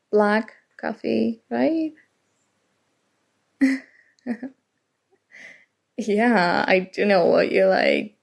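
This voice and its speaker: A shy, awkward college-age woman, played by an ASMR actress talks intimately and nervously. Her mid-pitched voice is heavy with creak. She goes slowly and haltingly, as if confessing something she has long kept to herself. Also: a little mellow, full character voice. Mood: resigned, fond. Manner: hesitant, halting, sighing. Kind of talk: intimate ASMR roleplay acting.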